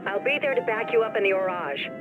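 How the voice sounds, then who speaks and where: A woman's voice: medium pitch, lively intonation, quick pace, natural voice. An actress playing an exasperated, efficient woman informant on a call in a video game cutscene. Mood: businesslike. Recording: dull band-limited call over music.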